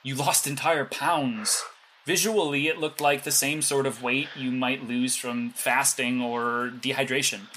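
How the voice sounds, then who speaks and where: A man's voice: mid-range voice, fairly even intonation, measured pace, bright, forceful tone, slight background noise, low-quality recording. A man playing an analytical superhero mastermind in an audio drama, with a precise, explanatory way of talking. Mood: analytical, matter-of-fact. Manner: precise, explanatory, matter-of-fact. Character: cerebral analytical male superhero mastermind guiding a young intern.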